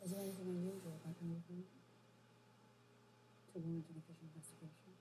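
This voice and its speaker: An actress playing a poised, coolly courteous corporate aide in a science fiction film, speaking formally and with measure. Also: female voice, on the low side, flat delivery, fast talker, warm tone, natural voice. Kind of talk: film drama dialogue. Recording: noisy film audio from a TV.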